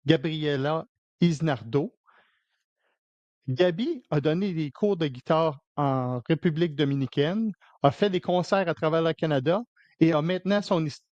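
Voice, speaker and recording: A Québécois man hosts a podcast in a relaxed, halting way, full of fillers and restarts. His voice sits a little high. The recording is dry and muffled. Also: no room sound. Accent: Québécois French. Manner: hesitant, many fillers and restarts. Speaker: podcast host. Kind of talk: casual interview.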